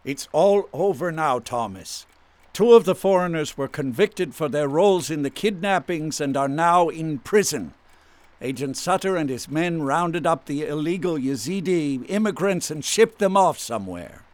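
Controlled male doctor, acted by a man, widely swinging pitch. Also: animated, no echo, natural voice. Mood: calm, reassuring. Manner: measured, clinical, condescending. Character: controlled, patronizing male doctor questioning a patient. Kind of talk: radio drama acting.

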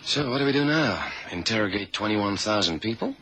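An actor playing a terse, matter-of-fact FBI sidekick in a radio drama. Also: male voice, lowish voice, animated, brisk, fairly bright tone, rough recording. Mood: wry, questioning. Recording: 1970s radio drama, faint noise.

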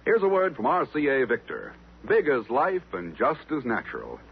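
A thin, tinny old broadcast with music behind a male radio announcer. His mid-pitched voice is polished and emphatic, in scripted 1950s style. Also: huge pitch swings, normal speed.

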